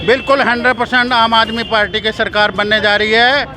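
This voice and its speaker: A man's voice: high-pitched, little pitch movement, fairly quick, music in the background, crowd noise. A man, a party worker, speaking emphatically and complaining in a street interview.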